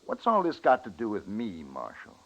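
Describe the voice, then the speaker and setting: Defiant gambler, swinging pitch. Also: male voice, huge pitch swings, moderate pace, fairly bright tone, exaggerated voice. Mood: wary, affronted. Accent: American. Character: crooked, defiant frontier gambler, slick grown man. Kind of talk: old-time radio western acting. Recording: muffled old radio recording, crowd behind.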